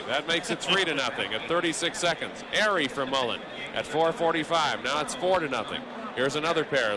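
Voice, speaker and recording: A man doing live radio play-by-play of a hockey game, wordy and wry, slipping conversational asides between the calls. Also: a little high. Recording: live arena broadcast with background noise.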